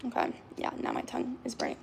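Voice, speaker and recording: A woman in her twenties with a raspy, slightly hoarse voice, chatting casually on a livestream, filling her talk with "like". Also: fast, slight background noise. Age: 20s. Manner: chatty, lots of fillers like "like".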